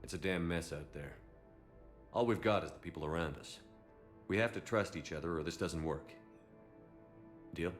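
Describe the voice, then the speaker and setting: An actor playing a steady, stoic military commander in a video game, terse and earnest in his delivery. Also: low voice, moderate pace, long pauses, soft background music, natural voice. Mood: resolute. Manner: terse, earnest, matter-of-fact. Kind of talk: video game cinematic dialogue acting.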